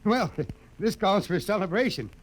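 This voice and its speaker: A man's voice: high-pitched, lively intonation, measured pace, poor audio. An actor playing a jovial, homespun old father who drawls along in a 1950s radio drama. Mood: jovial, folksy. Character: jovial, folksy old father of grown sons. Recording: old radio recording, faint noise.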